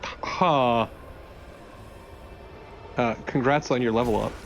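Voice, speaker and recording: A man's voice: medium pitch, slow pace, creaky, a little hiss or hum. A young man chatting casually and wryly as co-host of a gameplay video, swearing freely. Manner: wry, profane. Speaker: let's play co-host. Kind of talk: casual joking gameplay chat.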